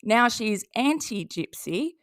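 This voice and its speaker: A woman's voice: fairly high pitch, sing-song, slow pace, fairly bright tone. Aussie woman doing snarky opinion commentary, reads posts out, blunt and mocking, dry sound no echo. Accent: Australian. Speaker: commentary video host. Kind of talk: casual opinion commentary, reading posts aloud.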